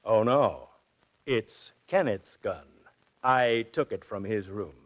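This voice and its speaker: An actor playing a smug, murderous, scheming uncle in 1940s radio drama, smooth and menacing. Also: male voice, fairly low pitch, expressive, slow. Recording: dull 1940s radio recording.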